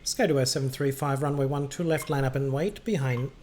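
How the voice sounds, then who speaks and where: A man's voice: medium pitch, fairly quick, darkish tone. A man hosting a gaming livestream, chatting casually and hesitantly between air traffic controller calls. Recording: clearly noisy background.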